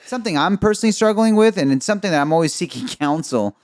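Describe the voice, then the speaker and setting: A man from Egypt hosting a podcast, upbeat and chatty with quick asides. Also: sits high, lively intonation, darkish tone, dry recording, clean studio sound. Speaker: podcast host. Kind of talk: conversational interview.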